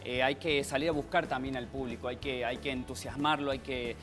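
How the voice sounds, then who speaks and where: A man's voice: medium pitch, a little fast, over music. A man in his thirties with a Córdoba Argentine accent, an actor speaking passionately as a guest in a TV studio interview. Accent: Cordobés Argentine Spanish. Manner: passionate, animated with hand gestures.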